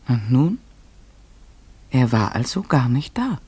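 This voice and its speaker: An actor in a German radio play playing an earnest, searching man, with a husky voice and literary delivery. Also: medium pitch, very animated, slow pace, mellow, full character voice. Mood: questioning, troubled. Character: earnest, searching male narrator haunted by a mystery.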